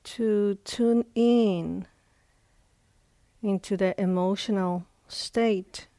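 A woman leading a guided meditation as a teacher, speaking in short phrases with long, unhurried pauses. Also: mid-range voice, fairly even intonation, mellow, no echo, studio quality.

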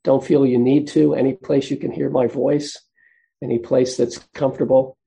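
Soft-spoken man with a gravelly, dark voice. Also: mid-range voice, little pitch movement, poor audio. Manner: gentle, unhurried, with frequent pauses and fillers. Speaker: meditation teacher. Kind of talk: guided meditation instruction.

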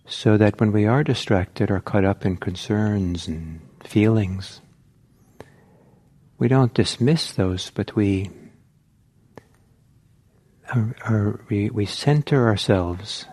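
Slow, low-voiced man guiding meditation. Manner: gentle, halting, reflective with long pauses. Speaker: meditation teacher. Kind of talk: guided meditation talk.

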